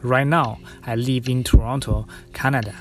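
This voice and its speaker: A man from China, a dance artist, speaking gently in a measured guided narration over soft music. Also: mid-range voice, fairly even intonation.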